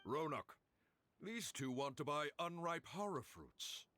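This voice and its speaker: An actor playing a sly, smooth-talking market trader in a video game, with a husky voice. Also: male voice, a little high, very animated, unhurried, pushed and bright, no echo, full character voice. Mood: sly, welcoming.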